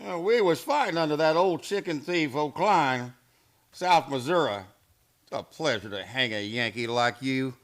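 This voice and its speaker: A man's voice: medium pitch, lively intonation, moderate pace, natural voice. A man playing a hard, grudge-bearing cowboy henchman in a Western radio play, with scornful, folksy American speech. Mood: hostile.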